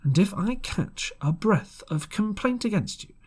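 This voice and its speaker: Fearless doctor and magistrate played by a male narrator: raised pitch, formal, stern, emphatic storybook delivery. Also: lively intonation, a little slow, slightly rough, full character voice. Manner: high, firm, emphatic, formal.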